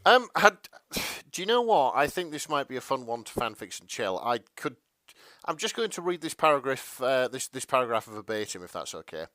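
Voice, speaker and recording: Bright-voiced man, bemused podcast banter. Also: mid-range voice, sing-song, normal speed, dry recording. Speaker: podcast co-host. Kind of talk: casual comedic chat.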